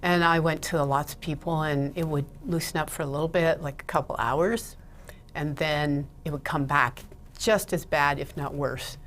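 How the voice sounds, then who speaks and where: A woman's voice: deep voice, moderate pace, a bit bright, slight background noise. A middle-aged woman from Ontario, Canada, a guest in an interview, talking casually and laughing between her thoughts. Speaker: guest, Mitzvah Technique teacher.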